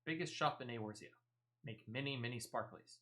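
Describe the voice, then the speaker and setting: A man's voice: medium pitch, normal speed, pushed and bright, natural voice. A man voicing an eager, simple creature trader from a video game, speaking in broken, repetitive third-person phrases. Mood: grateful, eager. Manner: broken third-person speech, repeating words. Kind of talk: character voice reading video game dialogue.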